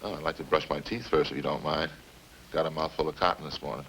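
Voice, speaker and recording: An actor with an American accent playing a defensive, slangy small-time murder suspect in a 1950s radio drama, colloquial and grumbling. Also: male voice, extremely low pitch, steady tone, quick pace, gravelly, a bit bright, exaggerated voice, low-quality recording. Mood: grumbling, compliant. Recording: old radio recording, faint noise.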